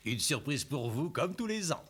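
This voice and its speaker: Genial, animated cartoon father. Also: on the high side, moderate pace, noisy background. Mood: ceremonious. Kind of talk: French-dubbed animated film acting. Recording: prominent music behind the dialogue. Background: film score.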